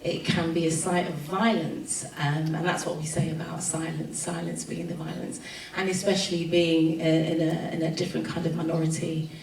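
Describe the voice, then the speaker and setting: A woman from London with a London accent gives a reflective, informal panel talk, pausing on ums and restarting her sentences. Her voice sits low and stays flat in pitch. She is picked up from a distance in an echoey room with a faint hum of ambience behind her. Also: gravelly. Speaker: panel speaker, writer. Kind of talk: spoken presentation at a panel. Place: event room at a festival panel.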